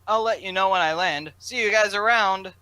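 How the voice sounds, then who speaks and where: A man's voice: high voice, normal speed, pushed and bright, smooth, pitched above the performer's own voice, poor audio. A man voicing an upbeat, reassuring male buddy in an amateur story reading. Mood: breezy.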